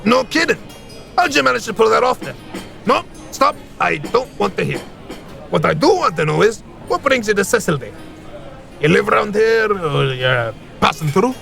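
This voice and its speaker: Cheeky boisterous hunter, very creaky voice, bright edge, folksy teasing. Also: male voice, high voice, very animated, normal speed, soft background music, full character voice. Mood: nosy, teasing. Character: jovial, cheeky, chatty hunter and ale drinker. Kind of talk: fantasy audio drama acting.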